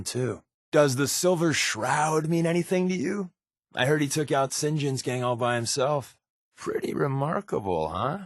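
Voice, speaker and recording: A man voicing a wry, secretive spy companion in a video game, with casual, sardonic, deadpan quips. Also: medium pitch, no room sound, studio quality. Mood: impressed, probing, then playful, teasing. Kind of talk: game companion dialogue lines.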